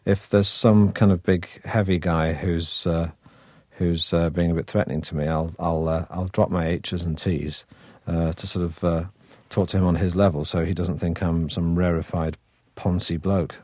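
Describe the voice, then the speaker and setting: A man's voice: deep voice, fairly even intonation, moderate pace, a little mellow, no echo. A man with a Manchester accent, an actor chatting as an interview guest in a wry, hesitant way full of restarts. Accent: Manchester (northern English). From Manchester, England. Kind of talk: relaxed interview conversation.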